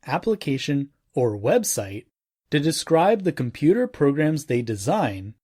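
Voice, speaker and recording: A man reading a scripted non-fiction audiobook in a formal, measured way with deliberate phrasing. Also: mid-range voice, a little mellow, slightly rough. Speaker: audiobook narrator.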